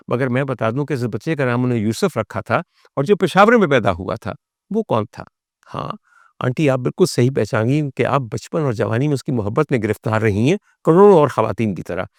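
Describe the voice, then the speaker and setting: A man with a Pakistani accent delivers a storytelling monologue as a vlog host. His voice sits at a medium pitch, and his manner is theatrical and formal, addressing the audience rhetorically and dropping in English phrases. The sound is dry, with very little room in it. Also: no echo. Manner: mixes English phrases into speech. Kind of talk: storytelling monologue on film history.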